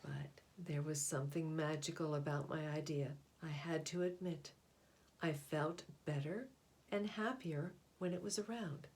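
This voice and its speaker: Female storyteller, lower register, deliberate expressive picture-book reading with measured pauses. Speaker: read-aloud storyteller. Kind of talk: picture book read aloud.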